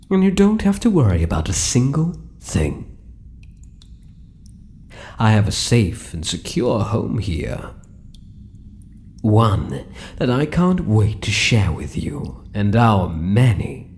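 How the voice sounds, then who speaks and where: Casting: male actor as British drider, possessive and doting, low dark voice, swooping intonation, intimate ASMR menace. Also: slight background noise. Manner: crooning, soft menace, breaking into whispers. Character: possessive, sinister yet doting male spider-human hybrid monster. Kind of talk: ASMR roleplay, intimate monologue to listener.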